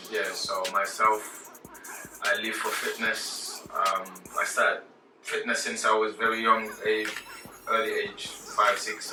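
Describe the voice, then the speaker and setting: A man from Senegal with a lowish voice answers questions about his life as a fitness coach. He talks hesitantly with many fillers, his sentences often fading off quietly. He sounds distant in a roomy space, with music playing clearly behind. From Senegal, West Africa. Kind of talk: casual interview answers.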